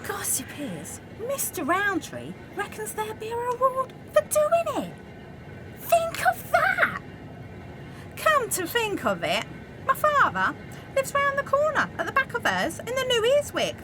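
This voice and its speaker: A woman's voice: very high voice, huge pitch swings, measured pace, over loud music, exaggerated voice. A woman playing a gossipy, suspicious wartime factory worker in an amateur radio play, chatty and conspiratorial. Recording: loud background noise.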